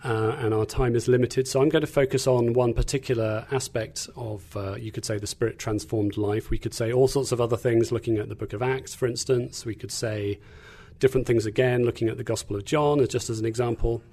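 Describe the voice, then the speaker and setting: A middle-aged man with an English accent. He speaks at a mid pitch, calmly and articulately. He often pauses on "uh" and "um" as he explains things. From England. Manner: measured, articulate, with frequent "uh" and "um". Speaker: guest, Bible teacher. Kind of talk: casual interview chat.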